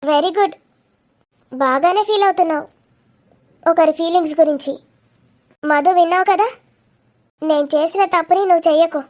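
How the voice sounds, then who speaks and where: A woman's voice: very high voice, moderate pace, long pauses, a little mellow. A woman voicing a feisty, sarcastic young woman in a confrontational cartoon comedy. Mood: mocking, scolding. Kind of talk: dramatic cartoon comedy dialogue.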